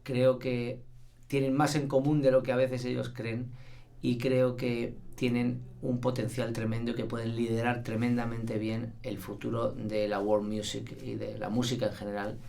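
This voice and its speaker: A man, a music producer, explaining a project in a measured, earnest documentary interview. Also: mid-range voice, level tone, gravelly, dark tone.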